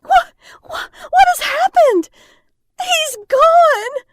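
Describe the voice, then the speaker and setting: A horrified woman, played by an actress, wails in grief in a horror radio play. Her voice is extremely high and swings widely, with very slow, drawn-out words. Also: expressive, no echo, studio quality, full character voice. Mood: horrified, crying. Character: distraught, horrified woman wailing over a gruesome death. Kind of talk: audio horror drama acting.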